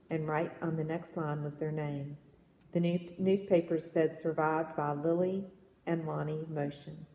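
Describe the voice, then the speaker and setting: A woman reading children's poems aloud in an expressive storytelling manner, recorded in an echoey room. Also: low voice. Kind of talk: read-aloud of children's verse novel. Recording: echoey reverberant room with background noise.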